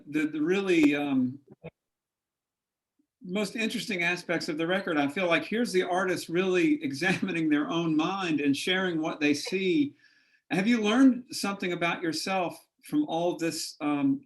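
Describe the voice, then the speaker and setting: Man interviewer, a bit high-pitched, eager and stammering, casual chat. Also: normal speed, poor audio. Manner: enthusiastic, stammering repetitions. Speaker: interviewer host.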